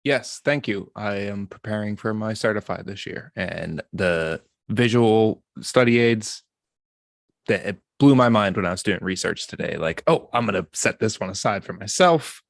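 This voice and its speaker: A man's voice: lowish voice, expressive, moderate pace, gravelly, dry recording, clean studio sound. A man hosting a podcast, easygoing and steering the casual chat. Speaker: podcast host.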